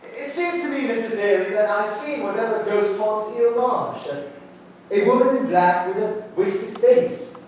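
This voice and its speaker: A man's voice: high-pitched, unhurried, a little mellow. Man playing an earnest young solicitor, very echoey stage. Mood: uneasy resolve. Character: earnest, stubborn young male solicitor, politely formal. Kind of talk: period stage play acting. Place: indoor theatre stage, mic far off. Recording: very echoey theatre, distant mic, faint noise.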